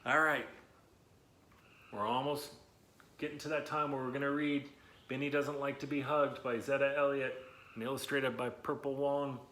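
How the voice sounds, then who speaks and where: A man hosting a read-aloud lesson for children, talking in an animated, chatty, encouraging way with emphatic words and questions to his listeners. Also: mid-range voice, moderate pace, long pauses. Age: adult, not young.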